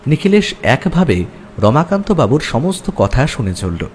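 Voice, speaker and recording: A man's voice: mid-range voice, very animated, unhurried, a little mellow, soft background music. A man narrating a scripted detective story in the first person.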